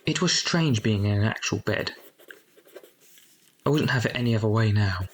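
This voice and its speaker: A man's voice: fairly low pitch, moderate pace, slight background noise, close to an everyday voice. Man acting a reflective grown-up orphan. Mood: wistful. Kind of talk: scripted first-person narration.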